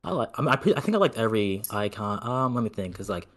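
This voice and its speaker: A man's voice: fairly low pitch, sing-song, a bit bright, soft background music. A man co-hosting a gaming podcast, talking with enthusiasm and filling his sentences with "like" and "freaking". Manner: quick, enthusiastic, lots of "like" and "freaking". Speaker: podcast co-host. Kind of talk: casual gaming chat.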